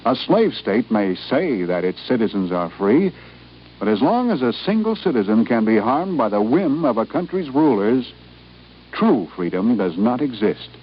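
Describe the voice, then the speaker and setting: Solemn, expressive male radio announcer. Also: mid-range voice, moderate pace, slightly rough. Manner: solemn, oratorical. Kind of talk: scripted 1950s patriotic radio announcement. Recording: muffled old radio recording, faint noise.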